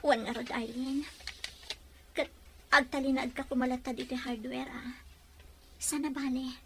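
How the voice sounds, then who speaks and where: A woman playing a gentle, heartbroken but resolute young woman leaving home in an audio drama, speaking earnestly. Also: sits high, little pitch movement, moderate pace, slight background noise. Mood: sad, resigned. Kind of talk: Ilocano audio drama acting.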